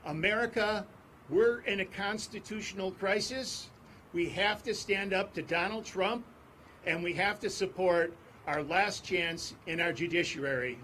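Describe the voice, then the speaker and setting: A man speaking at an outdoor press conference on court steps, in a forceful, repetitive rally cadence. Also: high-pitched, unhurried, a little hiss or hum. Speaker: advocacy group speaker. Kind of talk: impassioned press conference speech.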